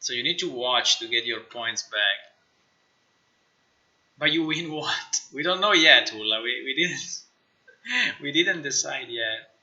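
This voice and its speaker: Sharp-voiced man chatting on a livestream. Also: mid-range voice, slow, long pauses, highs cut off. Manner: informal, excitable, repeats words and phrases. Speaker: live streamer, game developer. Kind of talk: casual livestream chat, thinking aloud while working.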